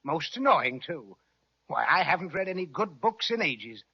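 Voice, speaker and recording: A showy crocodile, high-pitched, flowery drawn-out speech, children's radio play, dull lo-fi recording. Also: male voice, sing-song, husky, exaggerated voice. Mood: boastful. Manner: grandiose, flowery, drawn-out delivery. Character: vain, jolly, theatrical crocodile who fancies himself a singer. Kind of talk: old-time children's radio serial acting.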